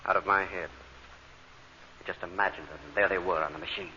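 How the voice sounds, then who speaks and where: A man's voice: deep voice, steady tone, brisk, bright, forceful tone. An actor playing an intense spaceship crewman explaining mysteries in a dramatic 1950s radio style. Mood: somber revelation. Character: urgent, explaining spaceship crewman who pieces together mysteries. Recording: dull, slightly noisy vintage radio recording.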